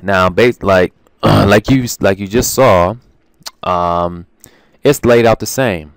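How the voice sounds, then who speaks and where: A man's voice: lowish voice, slow pace, clear, resonant tone, dry recording. A man casually walking viewers through his language course materials, informal and chatty with lots of ums and restarts. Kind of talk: casual screen-share walkthrough.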